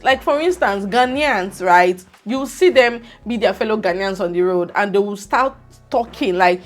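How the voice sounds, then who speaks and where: Nigerian girl ranting to her viewers on her vlog, lively and bossy, mixes in pidgin words. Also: medium pitch. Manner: animated, scolding, pidgin words mixed in. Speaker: vlogger. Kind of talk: casual opinionated vlog monologue.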